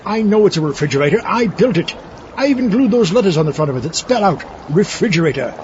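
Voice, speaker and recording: Scheming eccentric villain scientist, theatrical, flowery and exclamatory, lively high voice, noisy rumbling backdrop. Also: male voice, fast talker. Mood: haughty, irritated. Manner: pompous, flowery wording, exclamatory. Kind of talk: comedic audio drama acting. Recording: muffled lo-fi audio over rumbling background noise.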